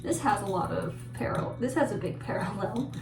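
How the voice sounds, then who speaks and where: A woman's voice: mid-range voice, lively intonation, a little fast. A woman whose first language is Polish, casually talking about a book and rambling with lots of self-corrections. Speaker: book vlogger. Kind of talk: casual book talk to camera. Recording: echoey room with mic at a distance and music behind.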